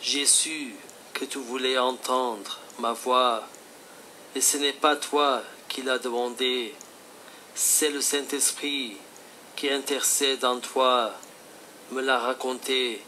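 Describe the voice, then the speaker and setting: A man playing Jesus as a calm, fatherly divine teacher in a scripted religious phone dialogue, speaking solemnly. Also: medium pitch, slight background noise, rough recording. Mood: solemn, instructive. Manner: slow, solemn, instructive.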